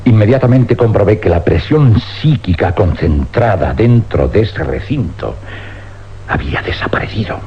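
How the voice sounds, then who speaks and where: Older male scientist, Spain accent. Grave radio-drama delivery with wide swings and pauses, noisy vintage sound. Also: on the low side, animated. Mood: dark, resigned. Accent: Spanish, from Spain. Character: weary older scientist confessing an uncanny experiment. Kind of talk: suspense radio drama acting. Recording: dull, noisy old radio recording with hum.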